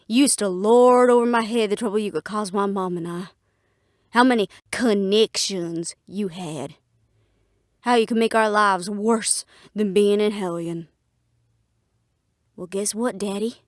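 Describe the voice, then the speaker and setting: A woman's voice: medium pitch, slow pace, long pauses, no room sound, clean studio sound, lightly acted. A woman playing a defiant, fiercely independent half-fae daughter, blunt and sarcastic, in a fantasy audio drama. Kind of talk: fantasy audio roleplay acting.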